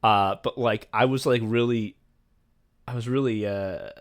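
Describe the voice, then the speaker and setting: A young man co-hosting a podcast in casual chat, rambling and swearing freely, getting excited and laughing as he talks. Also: fairly low pitch, a little slow. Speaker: podcast co-host and writer.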